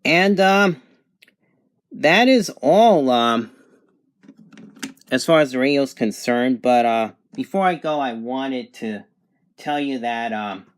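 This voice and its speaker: A person giving a casual product review and demonstration in a halting, hesitant way. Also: medium pitch, lively intonation, no echo. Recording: clear background noise, amateur home recording.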